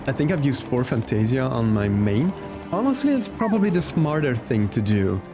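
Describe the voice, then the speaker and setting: A man's voice: medium pitch, sing-song, moderate pace. A man livestreaming a video game, chatting in an easygoing way and reacting aloud to the game and his viewers. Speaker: game streamer. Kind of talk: casual livestream chat while playing. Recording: music behind the voice. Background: game music.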